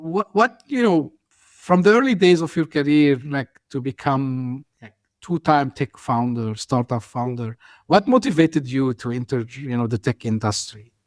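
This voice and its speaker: A man's voice: medium pitch, expressive, a little slow, no echo. A man hosting an interview podcast, asking long, hesitant questions full of fillers. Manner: hesitant, many "you know" fillers. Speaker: podcast host.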